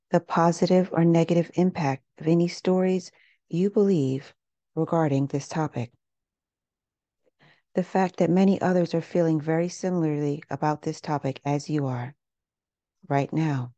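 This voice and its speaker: A woman leading a guided meditation in a gentle, unhurried, measured way, leaving pauses between phrases. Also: low voice, dry recording, highs cut off. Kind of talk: guided meditation, scripted narration.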